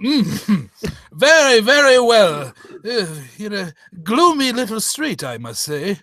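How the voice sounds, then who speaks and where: A man playing a jovial, fussy gentleman detective in comic old-time radio style, stammering theatrically. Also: sits high, very animated, slow pace, dry recording, full character voice. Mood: curious.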